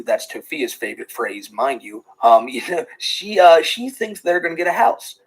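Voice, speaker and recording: A man with a husky, slightly breathy voice giving sarcastic commentary. He runs down a streamer's claims in a critical, mocking tone. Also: mid-range voice, rough recording. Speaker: commentary channel host. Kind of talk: reaction commentary.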